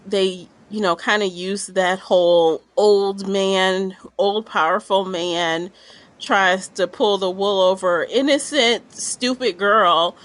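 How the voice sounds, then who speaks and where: A woman talking casually as a panelist in a podcast book discussion, with an animated, exclamatory and slangy way of speaking. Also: mid-range voice, slow.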